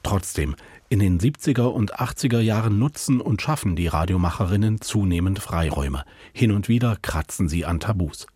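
A man's voice: on the low side, expressive, normal speed, warm tone, breathy edge, no room sound. A man reading scripted documentary narration as a radio reporter.

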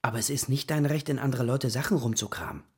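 Genervter Vater, belegte Stimme. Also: male voice, mid-range voice, fast talker, breathy edge. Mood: irritated. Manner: scolding, impatient. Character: exasperated, stern father of a young girl. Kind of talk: children's radio play acting.

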